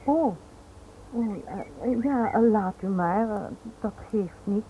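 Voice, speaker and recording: A woman's voice: medium pitch, lightly acted. Actress as flustered titled lady, tinny recording. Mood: flustered, reserved. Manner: halting, polite. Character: flustered, polite English lady, reserved with strangers. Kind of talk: radio play acting. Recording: tinny, noisy old radio drama recording.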